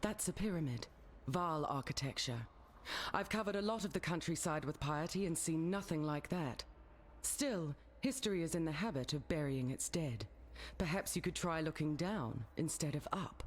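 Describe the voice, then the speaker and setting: A woman's voice: low-pitched, animated, moderate pace, slight background noise. A woman playing a worldly, dry-witted wanderer in a fantasy video game, matter-of-fact in manner. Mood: wry, cool. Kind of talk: video game dialogue acting.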